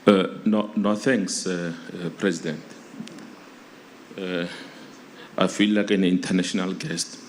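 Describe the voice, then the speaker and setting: Halting South African man addressing a congress. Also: low voice, fairly even intonation, a little hiss or hum. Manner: halting, repeats words, formal appeals to comrades. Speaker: provincial delegate.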